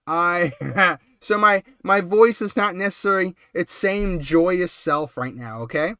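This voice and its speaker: A man with a cold hosts a relaxed late-night live stream in a high, bright voice. His tone stays smooth and clear despite the cold. He rambles and chats, full of ums and short laughs, and the sound is dry and close. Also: measured pace, no room sound. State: has a cold.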